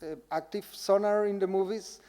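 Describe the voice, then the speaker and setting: A man's voice: high-pitched, steady tone, normal speed. A man giving an informal public lecture in a hall, talking directly to his audience and inviting them to take part. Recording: reverberant hall with loud background noise.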